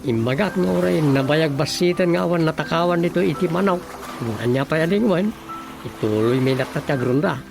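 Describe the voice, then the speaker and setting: A man's voice: fairly high pitch, moderate pace, gravelly. A man with a Filipino accent playing a dutiful village councilor in a radio drama. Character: dutiful village councilor reporting to his chief. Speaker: actor. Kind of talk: Ilocano radio drama acting. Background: music.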